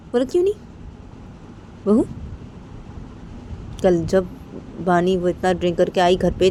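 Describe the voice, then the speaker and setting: A woman voicing a stern older mother-in-law in a story, hectoring and repeating herself with sharp questioning tags. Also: fairly low pitch, slight background noise, lightly acted. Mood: angry, accusing.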